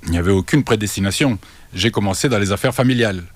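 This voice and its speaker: An older man from Congo, a guest in a radio interview, speaking haltingly with many restarts. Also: on the low side, dry recording. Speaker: guest, banking consultant.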